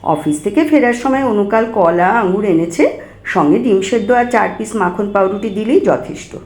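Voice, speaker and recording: A woman narrating a short story in an expressive audiobook style. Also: mid-range voice, normal speed, roomy sound.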